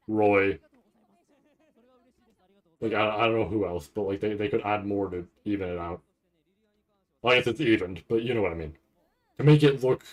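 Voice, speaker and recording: A man giving casual, rambling live commentary as a streamer, halting and restarting often as he thinks aloud. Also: fairly low pitch, darkish tone, poor audio. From Canada. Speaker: streamer reacting to a live anime event.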